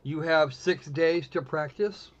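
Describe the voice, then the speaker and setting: A man hosting a live game stream in casual chat, often halting and restarting his phrases. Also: on the high side, dull audio.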